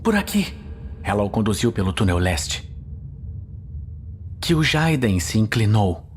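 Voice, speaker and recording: A man's voice: on the low side, lively intonation, measured pace, slight background noise. A man with a Brazilian accent narrating a scripted fantasy audio series in a dramatic style.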